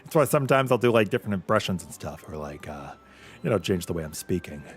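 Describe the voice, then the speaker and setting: A man with a light voice, often taken for a girl's, chatting casually with viewers as a VTuber streamer, animated, with quick laughs. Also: sits low. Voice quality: light voice he says is mistaken for a girl's. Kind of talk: casual livestream chat with viewers.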